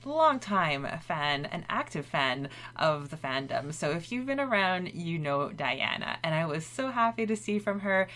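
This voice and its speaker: Lower-voiced woman podcast co-host from Montreal. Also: very animated, measured pace, few pauses, a bit bright. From Montreal, Canada. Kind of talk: casual chat.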